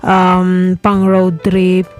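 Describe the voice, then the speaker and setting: A young woman chatting through a casual product review as a vlogger, unhurried and full of pauses and fillers. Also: fairly low pitch, completely flat delivery, darkish tone, faint music behind.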